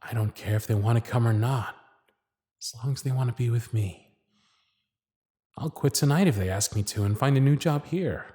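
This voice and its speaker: A man playing a lovestruck, nervous circus performer in an intimate ASMR roleplay, speaking earnestly and haltingly. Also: medium pitch, dark tone, dry recording, studio quality, close to an everyday voice. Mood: flustered, hopeful. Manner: hesitant, breathy, earnest, halting delivery.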